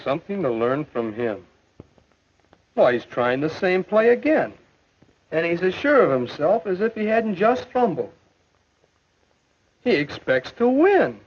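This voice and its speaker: An American actor playing an earnest, self-doubting high school boy athlete in a 1950s educational film, with stagey delivery. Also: very animated, unhurried, long pauses, slight background noise. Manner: earnest, stagey thinking aloud. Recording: muffled old 1950s film soundtrack.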